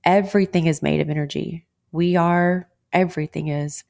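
A woman's voice: deep voice, fairly even intonation, normal speed, gravelly, a little mellow. A woman from Texas with a Texan accent, hosting a podcast and giving a blunt, outspoken motivational talk, swearing freely. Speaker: podcast host. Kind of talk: motivational monologue.

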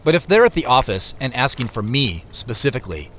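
An actor narrating an audio drama in the first person as a man telling of his troubled day, wry and measured. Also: mid-range voice, sing-song, a bit bright, slight background noise. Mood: wry, uneasy.

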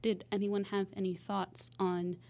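A woman's voice: medium pitch, little pitch movement, a little slow, vocal fry, heavy background noise. A woman hosting a podcast in a casual, conversational way, phrasing things a little tentatively. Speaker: podcast host.

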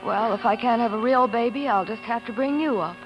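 Woman acting tender encouraging wife, high-pitched, 1940s radio drama, music behind. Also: fairly quick, lo-fi sound, lightly acted. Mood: tender, resolute.

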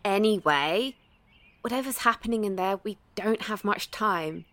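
A dry, close actress's performance of a woman ghost hunter in an audio drama. She is practical but tentative and careful, speaking conversationally at an average pitch. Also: a little slow, a bit bright, no room sound, lightly acted. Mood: uncertain.

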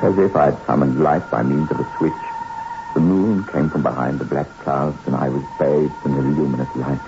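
An actor playing a distraught male castaway in shock, halting and dramatic, in an old radio horror drama. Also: rumbling low, fairly even intonation, music in the background. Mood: awed, brooding. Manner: halting, dramatic, swinging from brooding to pleading. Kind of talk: 1960s radio horror acting. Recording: muffled, noisy old radio recording.

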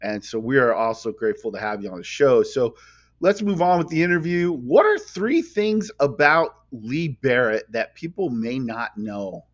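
A man's voice: medium pitch, normal speed. A man hosting an interview, enthusiastic and upbeat in tone. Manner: enthusiastic, lively. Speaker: interview host. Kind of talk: casual interview. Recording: dull lo-fi sound.

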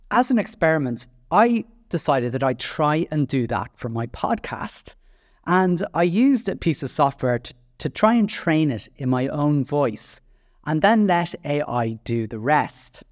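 A man's voice: a little high, a little mellow, dry recording, studio quality. A man with an Irish accent hosting a solo podcast, chatty and self-deprecating, with frequent fillers and restarts. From Ireland. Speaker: podcast host.

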